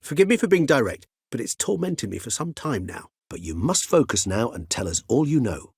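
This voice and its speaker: A man's voice: mid-range voice, very animated, a little mellow, breathy edge, no echo, studio quality, full character voice. An actor voicing a cultured older male vampire in a video game, speaking formally and precisely. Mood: inquisitive, earnest. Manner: erudite, formal, measured, wordy phrasing. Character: cultured, mild-mannered older male vampire, learned and courteous. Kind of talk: theatrical video game dialogue.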